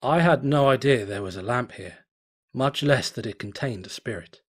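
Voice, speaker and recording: A wary, bewildered stallion explorer speaks in plain, halting words, as a man reading the story puts him on. His voice is husky and sits at a middle pitch. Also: lightly acted. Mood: bemused. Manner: hesitant, plain-spoken. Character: cautious, puzzled stallion explorer.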